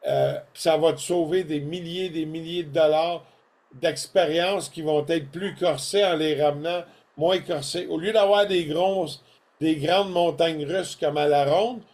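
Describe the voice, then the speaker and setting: A man's voice: a little high, a little slow. An older man with a Québécois French accent, chatting casually on a podcast in a folksy, storytelling way. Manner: folksy, animated storytelling with colloquial asides. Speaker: co-host presenting products.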